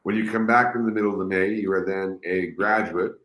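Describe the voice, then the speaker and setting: A man's voice: low voice, steady tone, a little fast, fairly bright tone, reverberant, a little hiss or hum, rough recording. A man hosting a live interview, enthusiastic and rambling, often restarting his sentences. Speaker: host.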